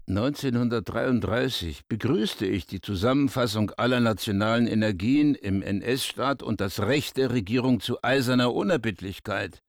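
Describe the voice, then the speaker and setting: An actor playing a proud, self-assured surgeon in a radio play, speaking curtly. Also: male voice, medium pitch, normal speed, hardly any pauses, no echo, clean studio sound, lightly acted.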